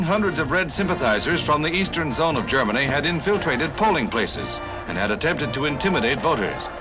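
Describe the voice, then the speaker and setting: An American man narrates a vintage 1950s newsreel in a fairly high voice. His delivery is punchy and dramatic, with very animated intonation. Music plays clearly behind him on the old soundtrack. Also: low-quality recording.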